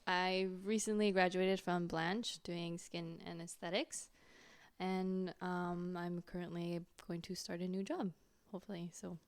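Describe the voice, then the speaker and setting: A woman's voice: on the low side, flat delivery, unhurried, smooth. A woman in her early twenties talking casually as a podcast guest. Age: early 20s. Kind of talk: casual chat.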